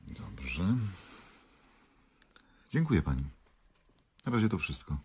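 A man's voice: very deep voice, very animated, slow, a little mellow, breathy edge, exaggerated voice. An actor playing a curt, businesslike male prosecutor questioning witnesses formally in a radio drama. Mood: firm, dismissive.

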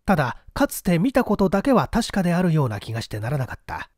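A man's voice: fairly high pitch, big pitch swings, fast talker, a little mellow, dry recording, clean studio sound. A man reading an old mystery novel aloud as dramatic, theatrical narration. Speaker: audiobook reader.

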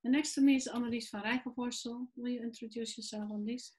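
A woman therapist from the Netherlands in her forties, calmly hosting a video call show. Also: on the high side, fast. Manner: calm, with soft listening sounds. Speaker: host and therapist. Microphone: video call webcam.

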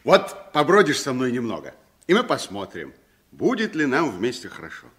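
An actor playing a good-natured, plain-spoken wandering tramp in a 1960s radio play, folksy and expressive. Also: male voice, medium pitch, normal speed. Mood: amused, relieved.

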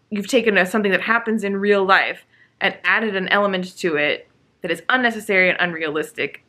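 A woman's voice: mid-range voice, bright, forceful tone. A young woman ranting casually about a book in a home vlog, emphatic and exasperated, with many fillers and restarts. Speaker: book vlogger. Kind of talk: casual book review rant. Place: living room with bookshelves, indoors.

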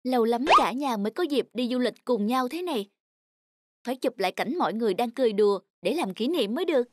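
A woman voicing an earnest, touchy young girl in a cartoon, an expressive big sister who gets whiny when crossed. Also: a little high, fast. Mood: eager. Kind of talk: cartoon dub.

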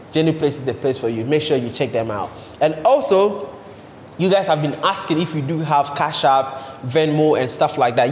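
A man's voice: fairly high pitch, darkish tone. A man in his thirties with a Ghanaian accent, hosting a conversational interview show on a podcast microphone. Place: indoor co-working space. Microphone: podcast microphone on boom arm. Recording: echoey room, long reverb, faint noise.

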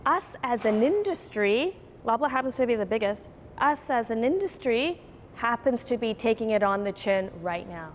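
A woman's voice: on the high side, animated, moderate pace, a little hiss or hum. A woman in her forties, a grocery chain executive, speaking earnestly and animatedly in a TV news interview inside a store.

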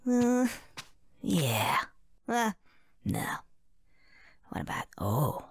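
A man's voice: a little high, huge pitch swings, extremely slow, creaky, big-room sound, a little hiss or hum, exaggerated voice. A man voicing a cheeky, sardonic young squirrel hero in a video game, muttering wryly and hesitantly. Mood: bemused, scheming. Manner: wry, hesitant, muttering to himself. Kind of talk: video game character acting.

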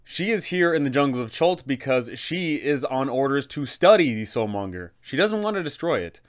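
A man's voice: medium pitch, sing-song, normal speed. A young man, presenting tabletop game advice in an emphatic, explanatory way. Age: young adult. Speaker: host, game master advisor. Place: small furnished room indoors.

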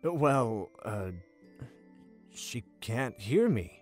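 Man playing an awkward, slow-talking young merman prince. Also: medium pitch, sing-song, faint music behind. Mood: awkward, eager. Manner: hesitant, awkward. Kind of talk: audio drama acting.

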